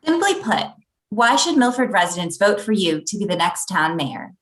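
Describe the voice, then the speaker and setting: A woman's voice: mid-range voice, big pitch swings, normal speed, a bit bright. A woman playing a persistent, skeptical thirty-year-old newspaper reporter with a dry, probing manner in a comedy table read.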